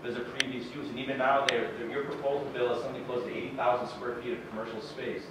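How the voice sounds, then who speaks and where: A man speaks quickly and firmly, arguing back in a public meeting debate. The recording is distant and very echoey, with loud noise over it. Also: mid-range voice, level tone. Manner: firm, argumentative. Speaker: meeting participant rebutting residents.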